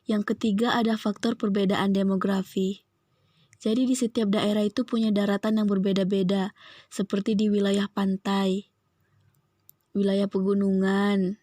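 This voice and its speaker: Young woman reciting in a flat voice. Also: mid-range voice, moderate pace, long pauses, dry recording, clean studio sound. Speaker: student presenter. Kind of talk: reading a school assignment.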